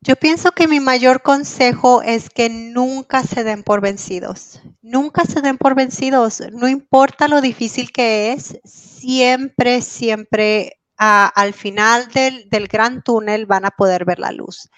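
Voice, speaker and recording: A woman's voice: a little high, slow, hardly any pauses, dry recording, rough recording. A woman guest in an interview, speaking earnestly and encouragingly. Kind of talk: interview answers.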